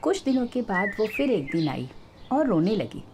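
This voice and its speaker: A woman's voice: mid-range voice, sing-song, normal speed, darkish tone, slight background noise. A woman storyteller narrating a scripted tale.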